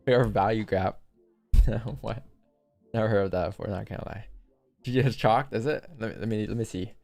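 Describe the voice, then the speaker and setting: A man's voice: lowish voice, brisk, vocal fry, a little mellow, soft background music. A young man hosting a live trading stream, talking casually and jokingly straight to his chat while he walks through charts. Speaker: livestream trading host.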